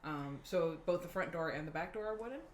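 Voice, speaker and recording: A woman's voice: lowish voice, fairly quick. A woman talking casually as a player in a tabletop game group. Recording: roomy echo, faint background noise.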